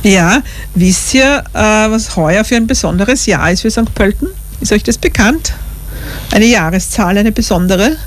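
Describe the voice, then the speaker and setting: An Austrian woman hosts a relaxed live radio chat in a studio, talking at an easy mid pitch. She chats freely and laughs between questions. A faint hiss sits under her voice. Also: measured pace. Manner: chatty, laughs often, warm questions. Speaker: radio host. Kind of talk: casual live radio interview. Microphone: studio microphone.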